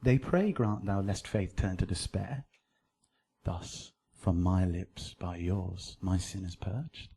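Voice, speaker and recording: An actor playing an ardent young romantic lover, delivering measured Shakespearean verse in a radio drama. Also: male voice, deep voice, mellow. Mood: tender.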